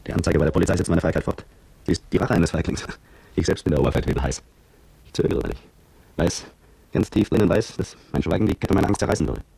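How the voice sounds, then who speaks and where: An actor playing an anxious, bitter petrol station attendant, brooding and accusing himself in a radio drama inner monologue. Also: male voice, sits low, fast, creaky, warm tone, husky, dry recording, heavily acted, low-quality recording. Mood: bitter, agonised. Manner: brooding, self-accusing, agonised.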